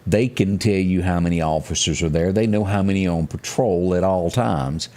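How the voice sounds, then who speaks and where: A man hosting a live local news talk show, speaking in a halting, folksy way and repeating phrases for emphasis. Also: sits low, warm tone. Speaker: morning show host.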